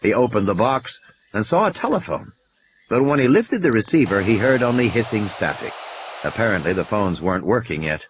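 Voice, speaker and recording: A man's voice: on the low side, big pitch swings, brisk, background noise. A man reading scripted fiction as an audiobook narrator, in a dramatic storytelling style, with music and sound effects behind him.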